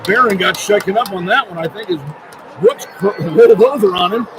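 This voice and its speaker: A man's voice: high-pitched, brisk, background noise. A man doing live radio play-by-play commentary of a basketball game from inside an arena. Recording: loud crowd and music behind commentary. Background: loud arena crowd and music.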